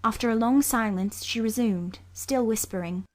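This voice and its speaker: A woman reading literary narration for an audiobook in a measured, sombre storytelling manner. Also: fairly high pitch.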